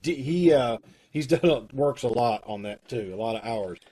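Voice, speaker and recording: A man's voice: mid-range voice, animated, normal speed, mellow, husky. Folksy man hosting a video call. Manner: folksy and rambling, with many fillers. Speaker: host of a community theater troupe. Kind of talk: casual live video-call chat. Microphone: video call from home.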